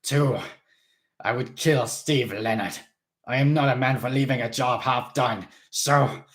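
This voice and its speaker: A man reading aloud, voicing a stern old male vampire who speaks in a formal, grave, measured way. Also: lowish voice, lightly acted. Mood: defiant.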